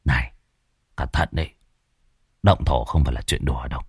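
A man doing a grim hushed coworker. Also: rumbling low, moderate pace, husky, no echo, clean studio sound, pitched below the performer's own voice, heavily acted. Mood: ominous. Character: grim male coworker giving a hushed warning.

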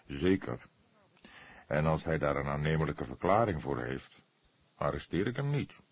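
Old detective, played by a male reader: very deep, husky, deliberate and doubtful, audiobook crime story. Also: animated, dry recording, exaggerated voice. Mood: measured. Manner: hesitant, deliberate, measured. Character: old, weary, thoughtful, unhurried male police detective.

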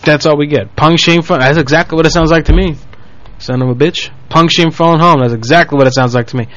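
Radio host, man, quick and crude, slightly high voice. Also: a bit bright, dry recording, lo-fi sound. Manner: fast, crude, joking rants. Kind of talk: casual radio banter.

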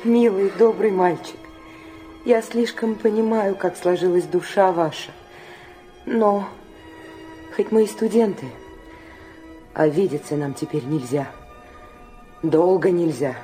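Very mellow, tender lady. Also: on the low side, takes it slowly, pauses often. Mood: tender, regretful. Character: tender, resigned lady gently turning away admirer. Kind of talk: soft radio play acting. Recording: radio drama with light music behind.

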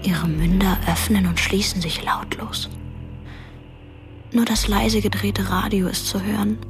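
A woman as hushed narrator of a dark tale. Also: mid-range voice, steady tone, a little slow, natural voice. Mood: quiet, melancholy. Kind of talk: scripted narration in radio drama. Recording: music behind. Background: music.

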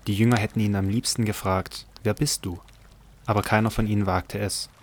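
A man's voice: fairly low pitch, little pitch movement, brisk. A man narrating a Bible audio drama from a script.

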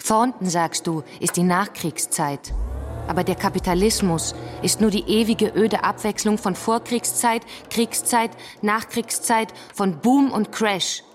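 A woman's voice: medium pitch, unhurried, a little hiss or hum, lightly acted. An actress playing a fervent, defiant revolutionary woman in a stylized radio drama, speaking in emphatic, declamatory slogans. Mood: scornful. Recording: studio radio play with loud music.

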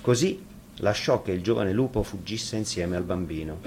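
A man's voice: sits low, normal speed, creaky, a little mellow, slight background noise. A man reading a fairy tale expressively, as a storyteller.

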